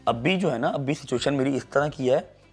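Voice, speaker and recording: A man's voice: medium pitch, normal speed. A man with a Pakistani Punjabi accent telling stories casually as an interview guest, repeating himself and acting out quotes. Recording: faint music under the talk. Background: music.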